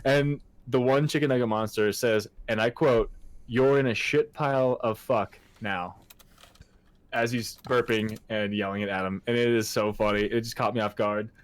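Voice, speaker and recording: A man's voice: mid-range voice, steady tone, moderate pace, no echo. Twenty-something guy shooting the breeze on a podcast, swears a bunch, laughs a lot. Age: 20s. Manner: loose and profane, laughs often. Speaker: podcast co-host. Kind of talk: casual chat.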